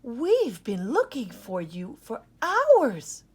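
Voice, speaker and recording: Woman voicing an anxious, high-voiced mother dog. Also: wildly swooping pitch, slow, slightly rough, exaggerated voice. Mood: worried, calling. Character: anxious, loving mother dog searching for her pup.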